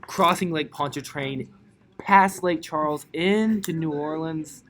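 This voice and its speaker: A man's voice: fairly high pitch, slow, a crowd in the background. A man on a podcast panel in casual, joking conversation. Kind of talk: casual comedic chat.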